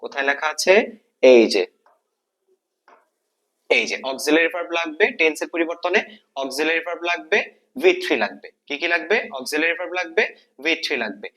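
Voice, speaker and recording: A man's voice: fairly high pitch, animated, a little fast, long pauses, pushed and bright. Bangladeshi man teaching, repeating key points. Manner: repeats key points, asks and answers his own questions. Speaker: English grammar teacher. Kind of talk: exam-prep grammar lesson, explaining step by step.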